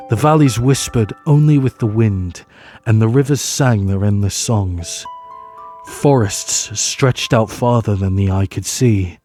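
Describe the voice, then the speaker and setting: A man narrating a scripted fantasy tale as storyteller, measured and solemn, with dramatic pauses. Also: mid-range voice, dark tone. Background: music.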